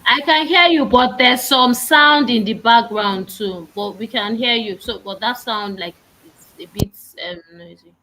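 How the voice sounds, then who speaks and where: A woman moderates an online webinar, her voice fairly high and very bright. She reads out the speaker's introduction in a measured, announcing way, slowing down as she builds up to the handover. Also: slight background noise, rough recording.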